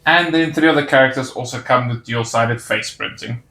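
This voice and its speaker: A man's voice: mid-range voice, normal speed, sharp tone. A man narrating a casual toy set review, pointing out details with easy enthusiasm. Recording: roomy echo on the voice.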